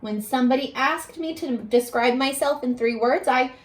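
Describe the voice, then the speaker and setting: A woman teacher reading a picture book aloud to young children, expressively, pausing to put questions to them. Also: sits high. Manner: animated, addressing children with questions and pauses.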